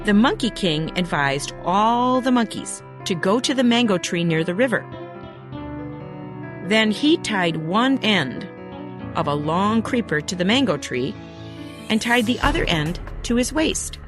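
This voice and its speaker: A woman reading a scripted children's story as a narrator, in an expressive, deliberate storybook manner. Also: mid-range voice. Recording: music behind the narration. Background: background music.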